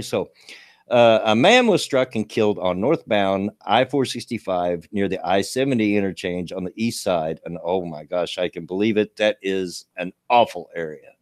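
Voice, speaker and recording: A man in his late fifties with a gravelly voice, hosting a casual livestream talk show and reading local news in a folksy, rambling way. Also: on the low side, dry recording, studio quality. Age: 50s. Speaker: show host.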